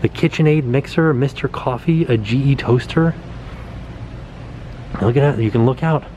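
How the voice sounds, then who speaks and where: Excited man touring a room on camera. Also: medium pitch, normal speed, muffled recording, slight background noise. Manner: excited, pointing things out. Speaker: vlogger. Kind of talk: casual walkthrough vlog. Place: indoor TV set replica, small room. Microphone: camera held at arm's length.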